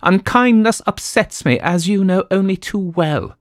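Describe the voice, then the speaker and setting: A man voicing a fretful, self-pitying wife who plays the invalid, in a shrill, plaintive, theatrical way. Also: a little slow, no echo, studio quality.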